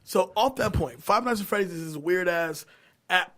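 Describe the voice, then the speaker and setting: A middle-aged American man hosting a casual podcast, telling stories in an animated, slangy, profane way. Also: high-pitched, normal speed. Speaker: podcast host.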